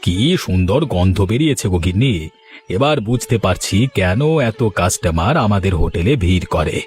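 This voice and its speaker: A genial village shop owner and flattering husband from a cartoon, played by a man. He has a fairly low voice, with soft music behind him. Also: moderate pace, close to an everyday voice. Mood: flattering, playful. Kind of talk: cartoon voice acting.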